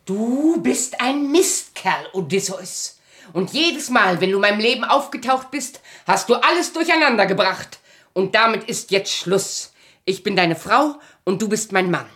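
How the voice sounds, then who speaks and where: An actress playing Penelope, an indignant, commanding wife left alone for decades, blunt and scolding in a German radio play. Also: female voice, medium pitch, lively intonation, measured pace, fairly bright tone. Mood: angry, scolding.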